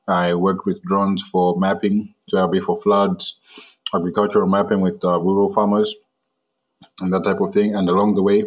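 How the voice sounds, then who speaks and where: A man from Malawi who co-founded a drone startup, explaining his work steadily with an occasional "uh". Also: deep voice. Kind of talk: casual interview talk.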